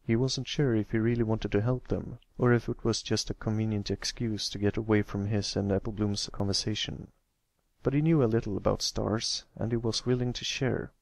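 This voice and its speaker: A man's voice: on the low side, fairly even intonation, moderate pace, gravelly, no echo. A man reading a scripted story aloud as an amateur narrator. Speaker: amateur fan fiction reader.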